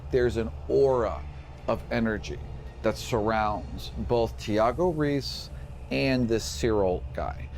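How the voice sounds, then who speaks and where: A man working as a game master, describing scenes for a tabletop fantasy game in short, halting phrases. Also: medium pitch, darkish tone. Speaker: game master and podcast host.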